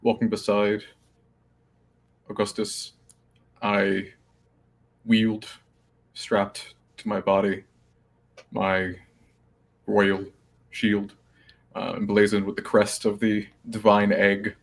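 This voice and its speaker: A man taking part as a player in a tabletop role-playing game, speaking in character in an unhurried, halting way. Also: lowish voice, completely flat delivery, creaky.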